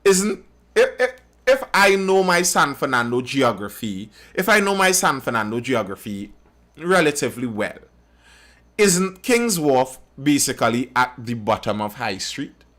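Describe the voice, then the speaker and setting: Lively Trinidadian man thinking aloud. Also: medium pitch, unhurried. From Trinidad and Tobago. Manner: repeats phrases while thinking aloud. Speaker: live stream host. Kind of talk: casual commentary on news, reading viewer comments.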